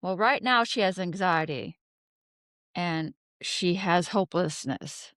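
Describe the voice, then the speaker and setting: A woman giving an unscripted tarot reading, talking haltingly as she ponders aloud and poses rhetorical questions. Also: lowish voice, expressive, a bit bright, no echo, studio quality. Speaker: tarot card reader, host.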